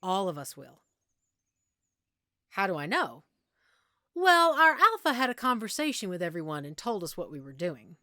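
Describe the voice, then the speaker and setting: A woman's voice: medium pitch, big pitch swings, moderate pace, long pauses, no echo. Woman playing a patient, reassuring werewolf mentor, close and dry. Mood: reassuring, compassionate. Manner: patient, gently explanatory, reassuring. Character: friendly, reassuring female werewolf pack member, patient mentor. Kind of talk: intimate audio roleplay, speaking directly to the listener.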